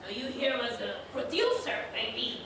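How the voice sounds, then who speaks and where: A woman playing a condescending, domineering film director in a stage monologue, with a measured, sarcastic, authoritative delivery. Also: fairly high pitch, very animated. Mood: condescending, cold. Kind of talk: theatrical solo stage monologue performance. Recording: echoey hall, distant mic, noisy.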